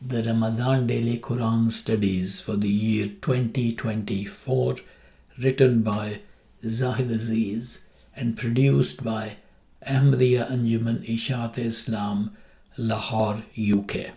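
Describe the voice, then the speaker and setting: Slow, gravelly man announcing in flat tones. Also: medium pitch. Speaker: announcer of series credits. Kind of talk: scripted introduction. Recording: echoey room sound.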